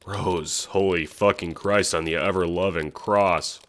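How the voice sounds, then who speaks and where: A man's voice: low voice, steady tone, a little slow, a bit bright. A man playing a sarcastic, foul-mouthed teenage boy in an audio drama, talking in casual, hesitant slang. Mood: deadpan disbelief.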